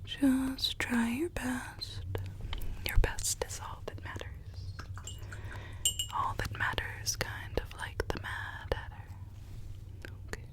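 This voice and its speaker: Slow whispering woman, acting a sweetly menacing Alice. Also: high voice, steady tone. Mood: gentle, encouraging. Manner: slow, hushed, coaxing, repeats phrases softly. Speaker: ASMR roleplay performer. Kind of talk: whispered ASMR roleplay. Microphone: close ASMR microphone.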